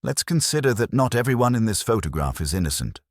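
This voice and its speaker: A man voicing a courteous, thoughtful English police detective who speaks in a measured, probing way in a mystery story. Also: medium pitch, sing-song, no echo, studio quality, pitched above the performer's own voice. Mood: deliberate, thoughtful. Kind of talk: dramatised mystery audiobook.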